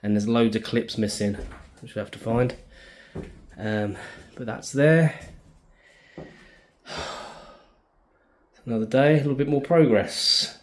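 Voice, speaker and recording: A man's voice: medium pitch, fairly even intonation, slow pace, pauses often. A British man vlogging casually, thinking aloud in an informal, chatty way as he shows things around him. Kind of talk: casual video diary, talking while showing the space.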